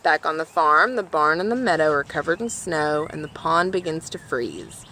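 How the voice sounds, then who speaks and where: A woman's voice: deep voice, lively intonation, normal speed, sharp tone, slight background noise. A woman reading a children's picture book aloud, explaining things directly to her listeners as she goes. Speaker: storybook reader for a nature organization.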